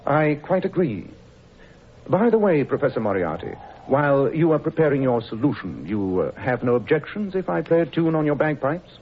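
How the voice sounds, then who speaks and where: An actor playing a calm, clever male detective in an old radio play, speaking in a cool, dry, ironic way. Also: fairly high pitch, normal speed, breathy edge, heavily acted. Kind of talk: 1940s radio acting. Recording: tinny phone-like old radio sound.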